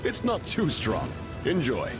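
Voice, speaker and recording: A man's voice: on the high side, wildly swooping pitch, measured pace, over loud music. A man voicing a busy, obliging restaurant chef in a video game, with a casual manner.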